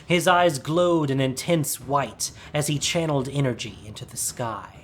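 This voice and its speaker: A man's voice: medium pitch, normal speed. A man reading a scripted, dramatic story as its narrator. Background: music.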